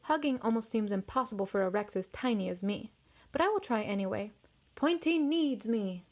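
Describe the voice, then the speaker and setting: A woman's voice: mid-range voice, lively intonation, moderate pace, lightly acted. A woman voicing a tiny young male T. rex, earnest and determined, in a storybook read-aloud.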